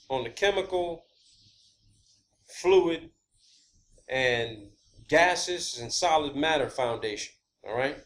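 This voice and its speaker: A man hosting a video, giving a rambling, unscripted explanation of his own theories in a halting, emphatic, blunt and casual way, repeating key words. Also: on the high side, a bit bright. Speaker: video channel host. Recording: clear background ambient noise.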